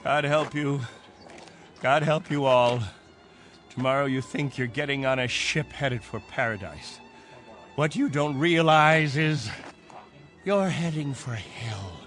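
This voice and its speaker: An actor playing a stubborn, domineering captain of stranded colonists, speaking in a defiant, declamatory way in a radio drama. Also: male voice, mid-range voice, slow pace, long pauses, fairly bright tone, soft background music. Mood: bitter, ominous.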